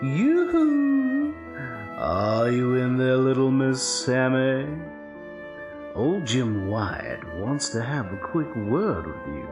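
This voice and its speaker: An actor playing a sinister old vampiric villain in a dark fantasy audio drama, syrupy, drawling and mock-polite with menace. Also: male voice, mid-range voice, big pitch swings, slow pace, muffled recording. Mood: sickly sweet. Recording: echoey, music underneath. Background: music.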